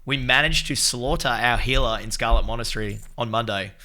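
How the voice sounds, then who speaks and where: A man live-streaming a game and chatting to viewers in an excitable, swearing way, recovering from a lost voice. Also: mid-range voice, fairly quick. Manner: excitable, swears, shouts out chat members. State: recovering from losing his voice. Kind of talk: casual gaming stream chat.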